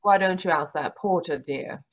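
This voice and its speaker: A woman's voice: on the low side, moderate pace, natural voice. A woman playing a genteel, affectionate and practical doctor's wife in a radio mystery, heard over a dull video-call line. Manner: genteel, expressive, wifely. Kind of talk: old-time radio mystery acting.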